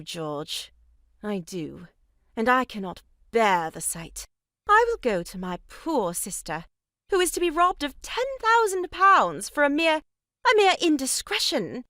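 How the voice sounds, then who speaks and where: High-pitched, grandly moralizing busybody. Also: female voice, sharp tone, no room sound, studio quality, full character voice. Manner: pompous, melodramatic, declamatory. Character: meddling, theatrically aggrieved sister-in-law, grandly moralizing woman. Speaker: voice actor. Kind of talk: stage farce audio drama acting.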